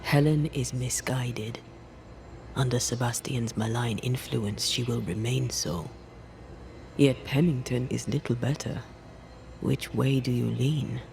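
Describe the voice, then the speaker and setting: Blunt game companion: gravel in the voice, low-key, scornful, faint background noise. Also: male voice, fairly even intonation, takes it slowly, darkish tone. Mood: wary. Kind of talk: dramatic video game acting.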